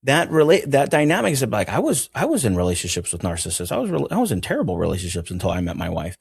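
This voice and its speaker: A man's voice: mid-range voice, huge pitch swings, quick pace, gravelly, slightly rough, no room sound, clean studio sound. A man from Venezuela talking earnestly as an interview guest, reflective and emphatic, repeating phrases to drive them home. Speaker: interview guest, mental healing educator.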